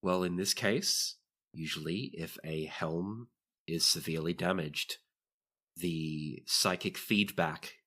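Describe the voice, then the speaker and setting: A man playing a calm, courteous doctor in a tabletop game, speaking in a measured, formal, reassuring way. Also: low voice, husky, full character voice. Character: calm, courteous hospital doctor tending crash survivors.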